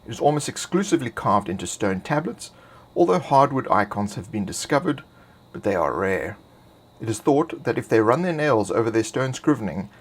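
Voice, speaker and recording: A man reading scripted fantasy game lore in a measured, expository way. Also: on the low side. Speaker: narrator.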